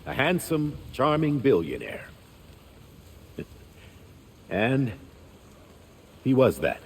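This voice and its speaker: Mourning dignified uncle, slow pace, widely varied intonation, heartfelt game speech, faint noise behind. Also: male voice, mid-range voice, very animated, vocal fry, breathy edge, heavily acted. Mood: mournful, proud. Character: grieving, dignified uncle giving a public eulogy. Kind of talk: video game cinematic acting. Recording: faint rain and background noise.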